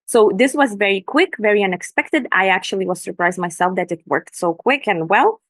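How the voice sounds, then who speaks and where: A woman's voice: mid-range voice, animated, moderate pace, no echo. Enthusiastic woman presenting. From Lithuania. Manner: enthusiastic, earnest. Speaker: Instagram business coach, guest. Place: room in Bali at night. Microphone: video call.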